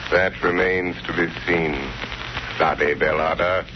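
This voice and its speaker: An actor playing a calm, ominous, invisible crime-fighting avenger in a 1930s radio drama, taunting in a measured, sardonic way. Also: male voice, sits low. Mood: mocking. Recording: muffled, scratchy 1930s radio recording.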